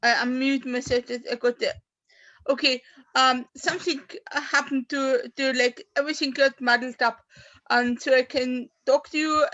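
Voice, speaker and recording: A woman in her fifties, a native German speaker from Austria, talks haltingly about her life as a poet, with many fillers and restarts. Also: high voice, pushed and bright. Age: 50s. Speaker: poet at an open mic. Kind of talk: personal talk and poetry reading. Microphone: video call. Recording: dull lo-fi video call audio.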